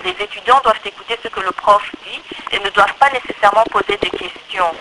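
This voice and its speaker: A woman's voice: mid-range voice, fast, background noise. A woman in her thirties from the Congo with a Congolese accent, explaining her views in a conversational interview and repeating points for emphasis. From Democratic Republic of the Congo. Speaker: interview guest, electrical engineer.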